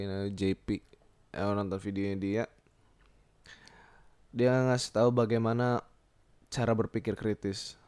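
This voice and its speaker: A young man talks casually alone as a podcast host, rambling and halting as he thinks aloud and repeats himself. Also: lowish voice, darkish tone, clean tone, no room sound. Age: young adult. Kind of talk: casual solo podcast monologue.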